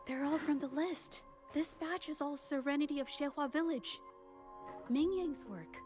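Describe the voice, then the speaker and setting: An actress voicing a composed, reserved young woman painter in a video game, speaking in a measured, reflective way. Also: high voice, steady tone, a little mellow, soft background music, lightly acted. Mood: serious. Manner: measured, reflective, hesitant at times. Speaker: game character.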